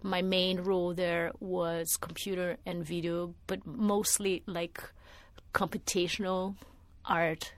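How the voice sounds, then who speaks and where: A woman's voice: on the low side, little pitch movement, slow, no echo. A middle-aged woman from Brazil, a media artist answering interview questions in a thoughtful way, with many restarts and fillers. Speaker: media artist and former art teacher.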